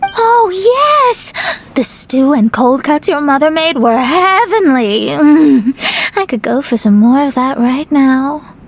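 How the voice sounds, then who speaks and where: A woman voicing a proud, easily flustered young astrologer in a video game, defensive and halting. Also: high-pitched, animated, a little hiss or hum, heavily acted. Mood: wistful.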